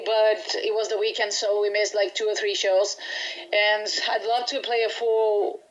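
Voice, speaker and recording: A woman's voice: mid-range voice, muffled recording. Chatty Brazilian woman in an interview. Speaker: band musician being interviewed. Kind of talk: casual interview chat.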